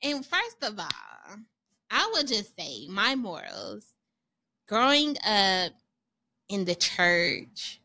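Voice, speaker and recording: A woman in her thirties co-hosting a podcast, talking candidly and casually, slowing down when she reflects. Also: medium pitch, wildly swooping pitch, bright, forceful tone. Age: 30s. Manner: candid, chatty, slows down to reflect. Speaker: podcast co-host. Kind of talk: casual chat.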